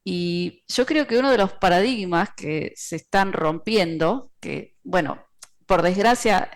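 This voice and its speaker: Woman, lowish voice, steady explainer with frequent "eh"s, guest on a talk channel. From Argentina. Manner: measured, explanatory, many filler "eh"s. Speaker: guest, financial analyst. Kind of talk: interview conversation.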